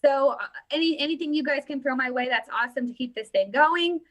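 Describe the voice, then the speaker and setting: 30s woman host rambling into a webcam, bright voice, dry sound. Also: high-pitched, a little fast, dry recording. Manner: rambling, many fillers and restarts. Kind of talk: casual political commentary.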